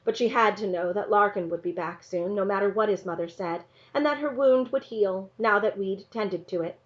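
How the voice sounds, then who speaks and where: A woman reading a children's novel aloud in an expressive narrating style. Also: mid-range voice, moderate pace. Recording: slightly dull, lo-fi sound.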